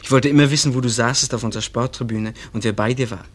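A man playing an earnest, bashful small-town schoolboy in love, speaking haltingly in a German radio play. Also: medium pitch, steady tone, close to an everyday voice. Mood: bashful, sincere. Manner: halting, searching for words.

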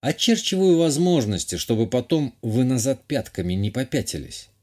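A man's voice: medium pitch, sing-song, normal speed, darkish tone, lightly acted. Man as a sardonic, grumbling young count. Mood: teasing. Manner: dry, grumbling.

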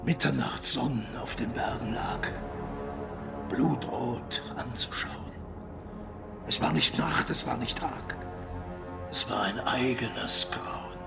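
An actor playing a storyteller who recites an old Nordic legend in a theatrical, declamatory manner for a radio play. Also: male voice, mid-range voice, takes it slowly, creaky, pauses often, loud music behind the voice. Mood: solemn, eerie.

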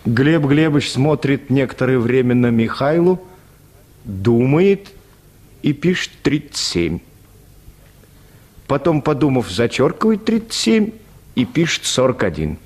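A man's voice: mid-range voice, sing-song, unhurried, pauses often, a little mellow. A man narrating a literary short story for a Russian radio play.

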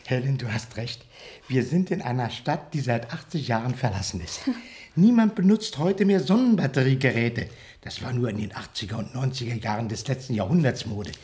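Man playing rational male traveller, expressive pitch, superior, insistent, explanatory, radio drama. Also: moderate pace, lightly acted. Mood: explaining. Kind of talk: German radio play acting.

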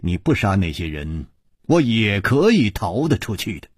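A man voicing a calm, shrewd hero in a wuxia audiobook, reasoning deliberately with pointed amusement. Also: on the low side, breathy edge, dry recording, clean studio sound. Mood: composed, probing.

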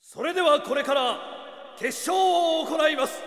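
An actor playing a loud arena announcer, proclaiming duels in a shouted tournament style in a Japanese audio drama. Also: male voice, very high voice, measured pace. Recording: echoing arena, long reverb, noisy.

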